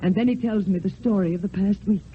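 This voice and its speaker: Through a thin, slightly noisy old radio recording comes a grieving widow, played by an actress. She is devoted and formal, with measured theatrical diction from the radio stage. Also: medium pitch, narrow, tinny audio, close to an everyday voice. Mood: composed, fond. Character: Roxanne, grieving devoted French widow living in convent.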